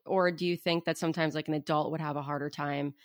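A woman's voice: low-pitched, steady tone, quick pace, dry recording, clean studio sound. A woman hosting an interview, asking rambling follow-up questions between short reactions. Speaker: podcast host. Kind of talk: casual interview chat.

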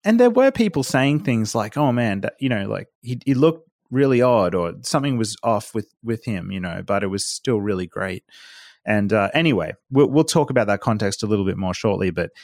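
A man's voice: on the low side, lively intonation, brisk, darkish tone, dry recording, clean studio sound. A man hosting a casual roundtable discussion and talking loosely with fillers and restarts. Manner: conversational, with filler words and restarts. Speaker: podcast host.

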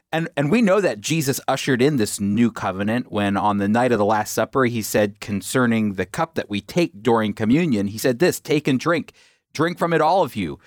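A man's voice: no echo, studio quality. A man hosting a radio program and teaching the Bible in an earnest, emphatic way, lifting his voice on key points. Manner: earnest, emphatic, with pitch climbing on key phrases.

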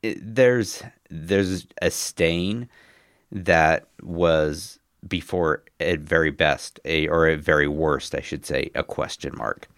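A man with a very low, bass voice hosts a casual podcast chat while a cold is coming on. He talks haltingly, with ums and restarts. The sound is close and dry. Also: a bit bright, no room sound. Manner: hesitant, many ums and restarts. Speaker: podcast host.

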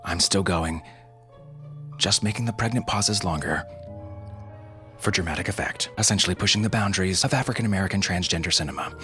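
A man hosting a podcast with scripted comedy, speaking in a deadpan, tongue-in-cheek manner. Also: deep voice, a little fast, long pauses. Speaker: podcast host. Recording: music behind the voice. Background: music.

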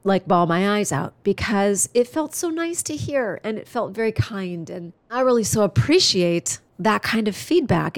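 A woman's voice: mid-range voice, no room sound. Congested woman hosting a podcast. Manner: earnest, chatty, warm-hearted encouragement. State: congested, stuffy nose. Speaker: podcast host. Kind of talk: solo podcast monologue.

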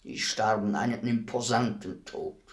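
An actor playing a world-weary, philosophical executioner in a radio play, speaking in a deliberate, brooding, respectful way. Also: male voice, lowish voice, fairly even intonation, close to an everyday voice. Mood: brooding, intense. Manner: deliberate, brooding, formally addressing a lady. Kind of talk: radio play acting, slow reflective monologue.

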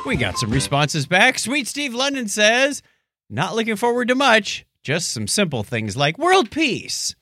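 American male co-host, higher-pitched and lively, teasing conversational delivery. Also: a little slow, no room sound, studio quality, faint music behind. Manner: joking, teasing banter. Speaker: podcast co-host. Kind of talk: casual chat.

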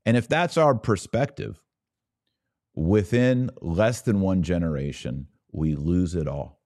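A man hosting a religious podcast, speaking in earnest exhortation with deliberate repetition, like a preacher addressing his audience. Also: low voice, darkish tone.

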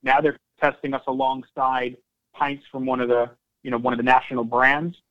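A man's voice: medium pitch, little pitch movement, moderate pace. A man speaking as a company executive on an investor call, answering questions in semi-prepared remarks and repeating phrases for emphasis. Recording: dull, band-limited conference call audio.

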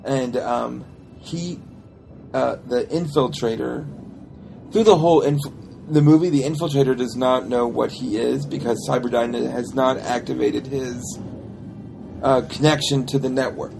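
Man chatting casually with gravelly creak. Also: medium pitch, steady tone, measured pace, noisy background. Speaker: podcast co-host reviewing films. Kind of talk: casual chat.

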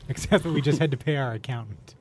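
A man's voice: mid-range voice, fast talker, crowd noise. A Canadian man hosting a casual podcast interview, encouraging his guests and joking along. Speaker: podcast host, advertising worker.

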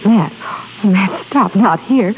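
Hissy narrow radio recording, actress as young working woman, earnest, polite, medium pitch, vintage melodrama style. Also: takes it slowly, lightly acted. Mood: tender, earnest. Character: devoted, discreet young secretary in love with her boss. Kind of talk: 1930s radio acting. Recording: tinny, noisy 1930s radio recording.

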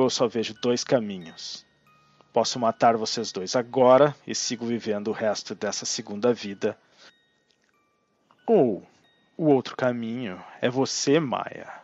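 An actor with a Brazilian accent playing a manipulative undead necromancer in an audio drama, theatrical, grandiose and cajoling. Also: male voice, medium pitch, a little mellow, dry recording, highs cut off. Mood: menacing, smug. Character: undead male necromancer ancestor, smug, manipulative, persuasive villain.

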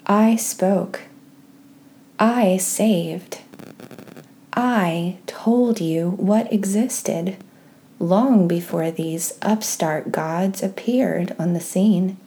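A woman leading a guided meditation and scripture reading in a gentle, soft-spoken, measured way with pauses. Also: on the low side. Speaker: host of a guided prayer podcast.

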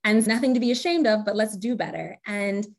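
A woman's voice: mid-range voice, fairly quick, gravelly. A woman speaking casually as a podcast guest, earnest and encouraging in her answers. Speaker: podcast guest, corporate strategy director.

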